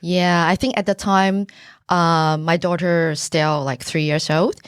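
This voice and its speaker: A middle-aged woman from Taiwan speaks English with a Taiwanese accent, Mandarin being her first language. Her voice sits low and the room is dry. She talks earnestly, with pauses and searching for words. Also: steady tone, clear, resonant tone, dry recording. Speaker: buyer's agent, podcast guest. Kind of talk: casual interview answers.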